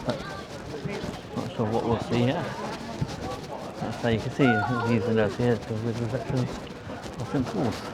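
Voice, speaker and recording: A man with a London accent giving casual, rambling, low-key commentary as he films a walk outdoors. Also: steady tone, a little slow, gravelly, long pauses. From London, England. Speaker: amateur photographer, vlogger. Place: outdoors on a riverside walkway. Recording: outdoor city noise behind voice.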